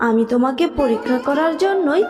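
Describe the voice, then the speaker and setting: A woman's voice: high-pitched, lively intonation, brisk, big-room sound, over music. A woman voicing a stern, admonishing fairy who punishes greed in a cartoon fable. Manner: admonishing, authoritative. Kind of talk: Bengali cartoon fable dubbing.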